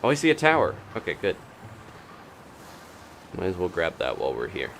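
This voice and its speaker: A man with a low voice gives playful, off-the-cuff gameplay commentary. His pitch swings widely as he exclaims and mutters to himself. Some noise sits behind the voice. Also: huge pitch swings, moderate pace, fairly bright tone. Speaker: video game streamer and host. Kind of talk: casual live gameplay commentary.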